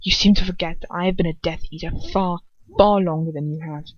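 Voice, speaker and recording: A woman's voice: lowish voice, steady tone, fairly quick, lo-fi sound, close to an everyday voice. A woman voicing a cold, arrogant, menacing wizard father in a story reading, with a controlled, venomous mutter.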